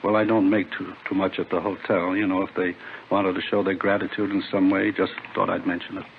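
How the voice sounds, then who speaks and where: An ingratiating American hotel clerk, low-voiced and quick. He hedges and wheedles like a money-minded informant in old radio drama, heard on a thin, tinny recording. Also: little pitch movement, gravelly, a little hiss or hum. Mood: hedging, wheedling. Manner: hedging, wheedling, talkative. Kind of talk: 1950s radio drama acting.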